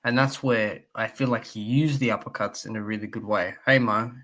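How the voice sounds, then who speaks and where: Male live streamer, croaky, low-pitched, loose conversational delivery full of fillers. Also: fairly even intonation, normal speed, fairly bright tone. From Australia. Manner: rambling, many fillers, reads chat aloud. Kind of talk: casual live stream commentary.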